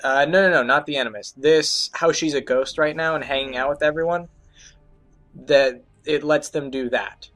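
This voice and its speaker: A man doing casual, halting, profane gaming commentary alongside a co-host, in a joking way. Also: a little high, steady tone, fairly bright tone, soft background music. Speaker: Let's Play commentator.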